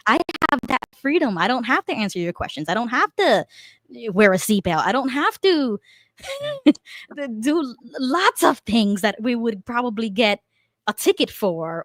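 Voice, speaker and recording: A woman's voice: medium pitch, dry recording. A woman in a casual political discussion, talking animatedly with restarts and laughs. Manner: animated, laughing, repeats herself. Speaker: panelist.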